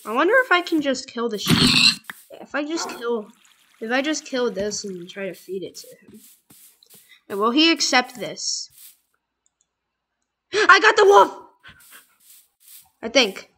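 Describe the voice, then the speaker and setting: Excitable high-voiced young gamer girl. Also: measured pace, long pauses, husky. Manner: excitable, insistent, drops to murmurs while playing. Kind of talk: casual let's play chat.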